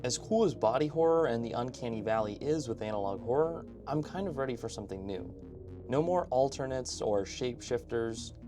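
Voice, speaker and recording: Man, mid-range pitch, casual video essay host, opinionated, informal asides, scripted commentary, music behind the voice. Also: expressive, brisk. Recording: background music under voice-over.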